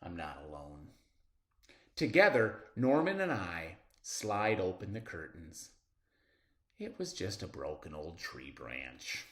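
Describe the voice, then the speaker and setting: A man reading a children's story aloud expressively, with dramatic pauses and drawn-out words. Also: low-pitched, very animated. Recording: roomy, echoing sound.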